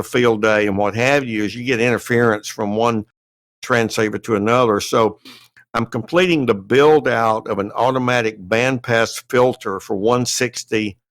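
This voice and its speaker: A man's voice: mid-range voice, fairly bright tone, dry recording. An American man giving a detailed, methodical update as a panellist on a casual podcast. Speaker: podcast panellist and amateur radio writer.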